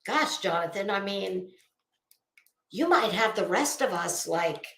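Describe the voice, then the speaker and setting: Female online commentator, mocking and halting, very unhurried pace, roomy echoing sound. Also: medium pitch, animated, a bit bright. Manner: sarcastic, mocking. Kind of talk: rambling, casual live-stream commentary.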